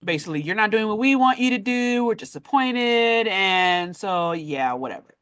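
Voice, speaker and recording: A woman's voice: medium pitch, lively intonation, normal speed, fairly bright tone, clear, resonant tone. A woman chatting casually about a show in a slangy, playful, amused way.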